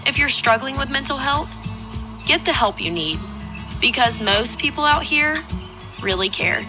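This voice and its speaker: A woman's voice: high voice, animated, normal speed, music in the background. A woman reading a scripted public service message in a plain-spoken, reassuring way.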